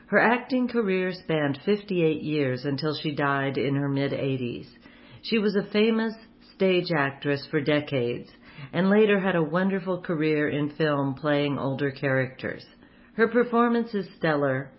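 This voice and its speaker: A woman hosting a radio program, reading a scripted introduction. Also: sits low. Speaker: radio show host. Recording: dull lo-fi sound.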